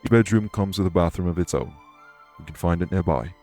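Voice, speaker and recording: Man acting a deep-voiced, formal ancient immortal king. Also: over music. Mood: courteous, reassuring. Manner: formal, measured, gravely polite. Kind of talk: immersive audio roleplay acting addressed to listener.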